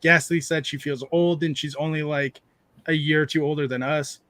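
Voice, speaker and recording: A man's voice: fairly high pitch, steady tone, normal speed, fairly bright tone, no room sound. A man in his thirties hosting a casual livestream, rambling and joking while he reads out chat messages. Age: 30s. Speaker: livestream host.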